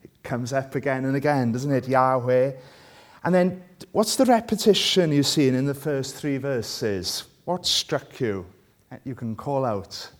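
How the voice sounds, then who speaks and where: Slow-paced Welsh man preaching. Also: medium pitch, lively intonation. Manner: conversational, asks listeners rhetorical tag questions. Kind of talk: sermon with scripture reading.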